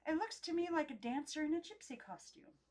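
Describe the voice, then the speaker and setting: A woman's voice: high-pitched, expressive, fairly quick, a little mellow, pitched above the performer's own voice. Lady doing a prim old-timey doll lady, storybook, genteel, bit fanciful n corrects everyone. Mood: dreamy, enchanted.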